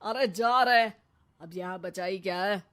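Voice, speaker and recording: Woman doing a cartoon husband, middle-aged, defensive but eager, mid pitch. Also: measured pace, fairly bright tone, close to an everyday voice. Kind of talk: cartoon moral story acting.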